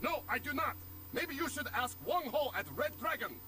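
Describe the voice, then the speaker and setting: A man's voice: extremely high pitch, expressive, normal speed, background noise. A man voicing a friendly shopkeeper in a video game, speaking clipped broken English. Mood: helpful, unhurried. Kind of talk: video game character dialogue.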